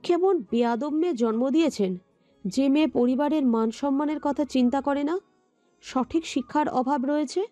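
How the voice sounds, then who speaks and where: A woman's voice: high voice, moderate pace, mellow, no echo, natural voice. A woman playing a haughty, sarcastic female wedding guest who scolds with scorn in an audio drama. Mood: scornful. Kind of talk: audio story acting. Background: music.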